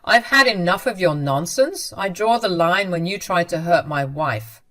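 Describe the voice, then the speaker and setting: A furious, unforgiving grown man laying down the law to his mother in a storybook tale, voiced by a woman narrator. He speaks firmly and coldly, with forceful emphasis. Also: fairly low pitch, expressive, normal speed. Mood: angry. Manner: firm, cold and forceful. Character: angry grown son, firm and unforgiving man.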